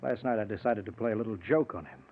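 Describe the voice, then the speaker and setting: An actor playing a cold, vengeful scientist with an offhand, domineering manner in 1940s radio drama style. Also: male voice, fairly low pitch, fast, phone-quality sound. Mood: callous, offhand. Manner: smooth, offhand, dismissive, domineering. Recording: noisy, band-limited 1940s radio recording.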